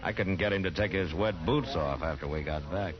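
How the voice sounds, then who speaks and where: An actor playing a steady, authoritative western marshal in a radio drama, speaking in a terse, measured, gruff but decent way. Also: male voice, deep voice, crowd noise, natural voice. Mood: easygoing. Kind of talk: 1950s radio western acting. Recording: muffled, noisy 1950s radio recording.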